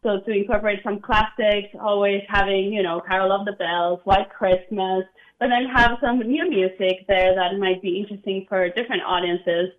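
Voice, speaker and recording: A woman's voice: medium pitch, steady tone, moderate pace. Enthusiastic woman, slightly dull sound. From Argentina. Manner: enthusiastic, chatty, laughs often. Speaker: associate conductor, guest. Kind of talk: broadcast interview.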